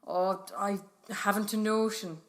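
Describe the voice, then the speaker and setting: An actress playing an older Irish mother, reminiscing in an unhurried, matter-of-fact conversational way in an audio drama. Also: medium pitch, fairly even intonation, natural voice. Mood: casual, reminiscing. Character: older Irish mother recalling local gossip.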